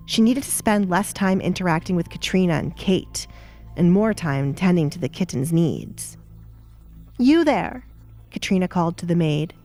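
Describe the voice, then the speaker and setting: A woman's voice: lowish voice, a little mellow. A woman reading scripted, dramatic story narration. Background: music.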